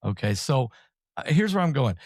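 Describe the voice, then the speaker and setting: A man's voice: mid-range voice, sing-song, darkish tone, no room sound, clean studio sound. Man host asking rambling questions. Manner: rambling questions, quick bursts of speech. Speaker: podcast host. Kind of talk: casual interview questions.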